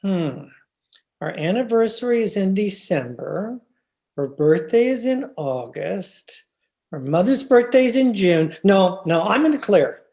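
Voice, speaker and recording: A woman's voice: mid-range voice, lively intonation, a little slow, dark tone. A woman playing a concerned, slightly sheepish husband in a 1940s-style radio comedy, earnest and conversational. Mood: flustered, sheepish. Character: concerned, mildly sheepish young-ish husband.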